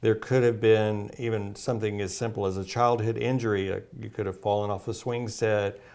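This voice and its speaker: A man's voice: on the low side, fairly even intonation, fairly quick, a little mellow. A man speaking as a naturopath guest, explaining at length with many fillers and restarts. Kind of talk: casual health talk.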